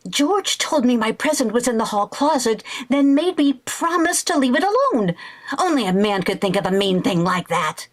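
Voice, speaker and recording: A woman playing an excitable, scheming, flighty housewife in a 1940s-style radio comedy, theatrical and full of exclamations. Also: fairly high pitch, normal speed. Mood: mock innocent. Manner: theatrical, exclamatory, mock-innocent. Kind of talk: 1940s radio sitcom acting.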